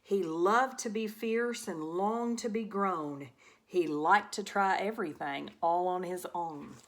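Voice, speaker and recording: A woman reading a rhyming children's storybook aloud as an expressive narrator. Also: fairly low pitch, slow pace. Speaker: storybook reader.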